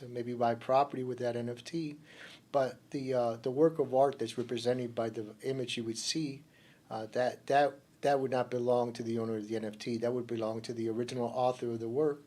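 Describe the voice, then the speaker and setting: A male lawyer from Cuba hosts a radio segment, talking loosely and explaining as he goes. His voice sits at a medium pitch, and he often trips over his words and starts his phrases again. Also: darkish tone. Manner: hesitant, often restarting phrases. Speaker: lawyer hosting a radio program. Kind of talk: informal explanatory radio talk.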